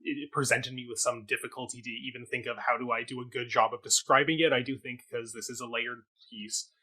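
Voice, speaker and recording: Guy cohosting a review show, bright clear-cut voice, kinda rambly and casual. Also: mid-range voice, fairly even intonation, a little fast. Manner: hesitant, rambling, conversational. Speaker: talk show co-host and theatre reviewer.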